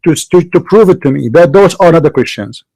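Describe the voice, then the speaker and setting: A man's voice: fairly high pitch, animated, brisk, vocal fry, a little mellow, no echo, rough recording. A man debating hotly, repeating his questions and pressing for yes or no answers. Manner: insistent, repeats questions, accusing. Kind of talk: heated live debate.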